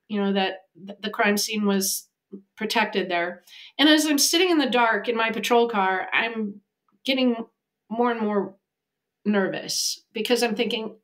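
Higher-voiced American woman telling a story. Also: a bit bright. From United States. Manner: vivid storytelling, acting out quoted voices. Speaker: animal communicator, podcast guest. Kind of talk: storytelling in an interview. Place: home office by a window. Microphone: video call, webcam.

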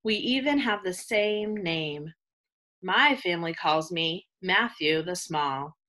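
Bright-voiced woman reading to children. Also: fairly low pitch, expressive, slow. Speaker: teacher reading a picture book aloud. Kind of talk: children's read-aloud, scripted narration.

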